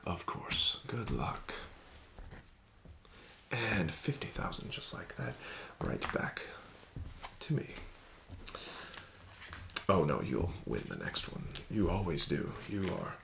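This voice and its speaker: A man playing a casino card dealer in an ASMR roleplay, speaking softly with whispered phrases and formal, courteous, gently coaxing patter. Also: sits low, wildly swooping pitch, slow, gravelly, pauses often, background noise.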